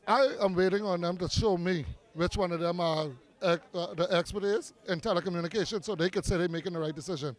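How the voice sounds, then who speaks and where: A man's voice: sits high, quick pace, few pauses. A man from Grand Bahama with a Bahamian accent, answering interview questions as a community activist, earnest and persuasive, repeating words for emphasis, recorded outdoors in a park.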